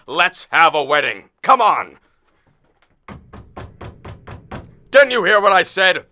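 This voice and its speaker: A man's voice: a little high, pushed and bright. A man playing an impatient, blustering middle-aged father of the bride in a radio play, demanding and money-minded. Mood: loud impatience.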